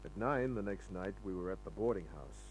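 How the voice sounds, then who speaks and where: Low-voiced wisecracking radio detective. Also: male voice, expressive, a little fast, rough recording. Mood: dry, narrating. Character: wisecracking male private detective, flirtatious, tough, easygoing. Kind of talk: old-time radio drama acting with first-person narration. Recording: old radio recording with hum.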